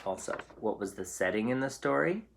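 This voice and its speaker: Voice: medium pitch, lively intonation, brisk, creaky, a little hiss or hum. A storyteller reading a picture book aloud expressively for young children.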